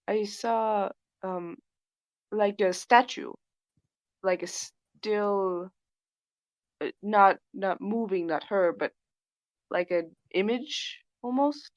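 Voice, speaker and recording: A woman's voice: mid-range voice, takes it slowly, long pauses, no echo. A woman playing a tabletop game, chatting casually in character with hesitant, fragmented phrasing. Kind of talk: casual in-character improvised chat. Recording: dull, lo-fi microphone sound.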